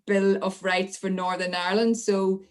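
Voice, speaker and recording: A woman speaking as a podcast guest, halting, with many restarts. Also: mid-range voice, flat delivery. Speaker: interview guest. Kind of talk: podcast interview.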